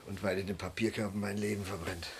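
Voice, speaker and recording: An actor playing a weary, bewildered, whimsical father in a surreal radio drama, halting and stammering. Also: deep voice, level tone, gravelly, a little hiss or hum. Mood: wry, musing.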